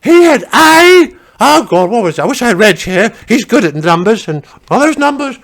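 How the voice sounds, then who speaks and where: A man doing a gravelly high-voiced old working-class wife. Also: very animated, moderate pace, full character voice. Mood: gossipy. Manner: rambling gossipy storytelling, shouting to husband and dog. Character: nosy older working-class woman, chatty and crude. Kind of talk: stand-up character monologue.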